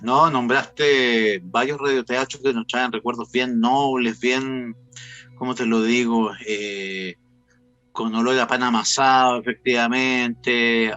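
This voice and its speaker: Chilean guy hosting a radio chat, bright forceful voice, kinda playful, faint music under it. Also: mid-range voice. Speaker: host and interviewer. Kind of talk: conversational online radio interview.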